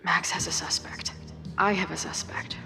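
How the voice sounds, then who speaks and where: Restless, gravelly, lowish-voiced young woman. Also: fairly even intonation, normal speed, whispered, over music. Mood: tense, hopeful. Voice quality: gravelly, creaky. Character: restless, impatient, edgy young woman waiting out a crisis. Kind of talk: video game voice acting.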